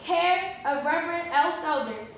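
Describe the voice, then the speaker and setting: A woman plays a freedwoman hoping to find her family, read as stage drama. Her high voice carries strong hall echo and a little background noise. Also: animated, a little slow, a bit bright, heavily acted. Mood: earnest, plaintive. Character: formerly enslaved woman wishing to find her people. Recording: very echoey hall, faint noise.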